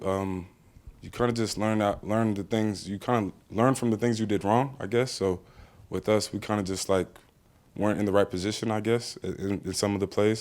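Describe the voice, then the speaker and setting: A man's voice: on the low side, darkish tone. A young American man and college football player, answering press questions in a casual, plainspoken way with many fillers. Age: young adult.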